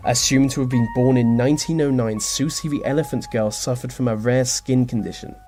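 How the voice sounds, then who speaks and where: A man reading scripted narration for a list video, slipping into dry, deadpan asides. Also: mid-range voice, fairly quick. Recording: music behind the voice. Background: background music.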